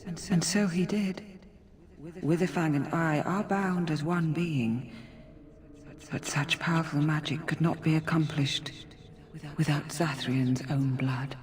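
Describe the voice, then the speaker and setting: An actress playing a solemn, compassionate forest spirit in a fantasy game, speaking in a measured, formal, pleading way. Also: female voice, low voice, fairly even intonation, a crowd in the background. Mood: solemn, reproving. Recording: long echoing reverb.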